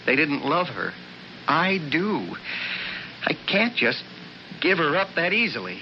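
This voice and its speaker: Troubled, stammering husband, noisy old radio. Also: male voice, a little high, big pitch swings, a little slow. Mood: anguished, insistent. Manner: hesitant, stammering, earnest. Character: troubled, obsessive man grieving his missing wife. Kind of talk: 1970s radio drama acting. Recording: dull, noisy vintage radio recording.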